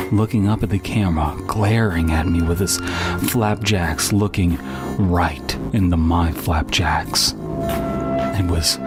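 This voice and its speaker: A man reading an online horror story aloud in a deadpan manner. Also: deep voice. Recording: loud music under the voice.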